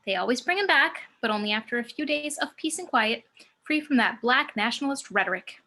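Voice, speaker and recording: Woman playing bright, sassy, high-voiced muse. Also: animated, a little fast, full character voice. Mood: dry, teasing. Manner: sardonic, brash. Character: sassy, cocky female muse working as a stripper. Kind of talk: amateur table read of a film script.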